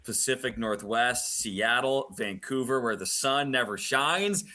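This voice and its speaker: A man's voice: medium pitch, animated, measured pace, sharp tone. A man, a hockey play-by-play announcer, chatting casually as a guest on a radio show. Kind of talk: casual interview chat.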